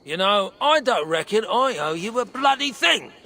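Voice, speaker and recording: An actor playing a gruff, blunt dwarf broker in a video game, with a British accent and a gravelly voice. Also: male voice, high-pitched, big pitch swings, normal speed, sharp tone, full character voice. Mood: angry, bitter. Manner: blunt, irritable, swearing slang. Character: gruff, grumbling, grieving dwarf broker, crude-tongued. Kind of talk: video game cutscene acting.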